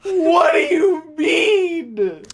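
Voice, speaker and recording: Melodramatic teenage wizard boy, played by a woman, fan fiction spoof, agonised and shouty, very animated pitch. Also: a crowd in the background, exaggerated voice. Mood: frantic. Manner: overwrought, wailing, drawn-out shouts. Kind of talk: dramatic reading of fan fiction.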